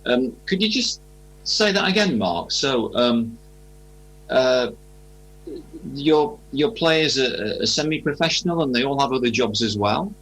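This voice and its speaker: A man working as a radio interviewer, putting informal questions in a hesitant, halting way. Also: mid-range voice, expressive, a bit bright, husky, low-quality recording.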